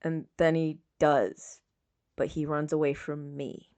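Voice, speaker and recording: A woman voicing a troubled, lovesick young man in a subdued, halting and defensive way for an audiobook. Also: sits low, flat delivery, darkish tone, no room sound, highs cut off, voice pushed down. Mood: frustrated.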